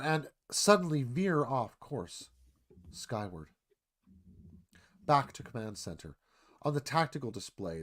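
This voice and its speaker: A man reading dramatic scripted narration as screenplay narrator, in clipped phrases. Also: medium pitch.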